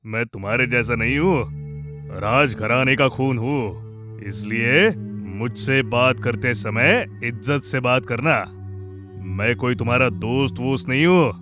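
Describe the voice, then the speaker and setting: A man's voice: mid-range voice, unhurried, over music, natural voice. A man voicing a vain, haughty horse in a children's cartoon, talking pompously and scornfully. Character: vain, haughty male horse claiming royal blood.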